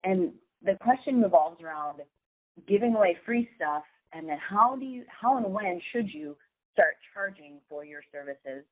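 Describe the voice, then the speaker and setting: A woman's voice: medium pitch, expressive, moderate pace. Lady cohost w a chest cold losing her voice, casual chat, tinny phone sound. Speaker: co-host, online business coach. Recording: thin, phone-like band-limited sound.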